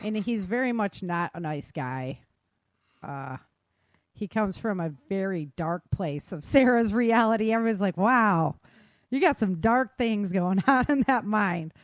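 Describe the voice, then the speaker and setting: A woman's voice: mid-range voice, lively intonation, unhurried, no echo, studio quality. A woman hosting a podcast as its author, speaking in an informal, conversational style. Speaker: podcast host and audio drama author. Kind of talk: casual podcast chat.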